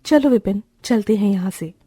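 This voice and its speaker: A woman's voice: medium pitch, lively intonation, normal speed, dark tone, breathy edge, no echo. Woman voicing a spirited rich young bride. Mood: defiant. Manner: pleading, emotional.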